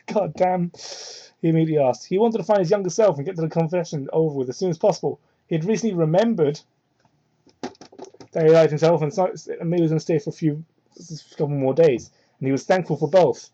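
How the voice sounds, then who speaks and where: A woman's voice: a little fast, warm tone, highs cut off, a little hiss or hum. A woman giving a comedic dramatic reading of fan fiction with exasperated, sweary asides, recorded on a crude microphone. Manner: exasperated, sweary, heckles the text. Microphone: cheap home microphone. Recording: crude, low-quality microphone.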